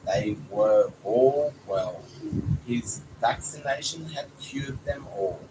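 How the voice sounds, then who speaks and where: A man's voice: medium pitch, animated, slow. Man reading a storybook aloud, noisy background. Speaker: father reading aloud to his child. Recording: noisy home recording, clear background noise.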